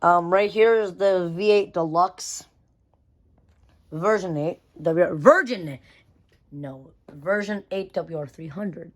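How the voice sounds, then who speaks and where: A young hobbyist talks through a collection in an informal, unscripted vlog style. The pitch sits in a middle range, and the wording is slangy, with repeated phrases and occasional mild swearing. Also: slow. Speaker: vlogger showing a weather radio collection. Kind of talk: casual hobby show-and-tell, unscripted.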